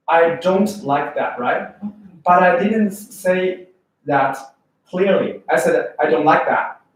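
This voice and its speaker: A man from China with a Chinese accent, teaching an English test class and often checking in with students. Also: high voice. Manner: lively, asks students questions often. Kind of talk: classroom lecture. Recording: echoey room from far off.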